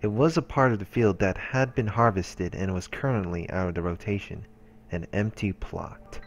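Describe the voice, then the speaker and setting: A man narrating a visual novel aloud in a measured storytelling manner. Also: low voice, highs cut off, slight background noise. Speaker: let's-play narrator. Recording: voice-over with music behind. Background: game music.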